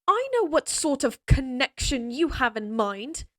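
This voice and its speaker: A woman's voice: high-pitched, sing-song, a little slow, no room sound, studio quality, voice pushed up. A woman voicing a proud, headstrong young lady knight in a fantasy audio roleplay, with spirited, formal speech. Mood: indignant. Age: young adult.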